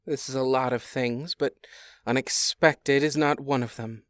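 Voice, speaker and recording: A woman playing a wry, unimpressed fairy in a modern audio drama, blunt and deadpan with a dry delivery. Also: a bass voice, unhurried.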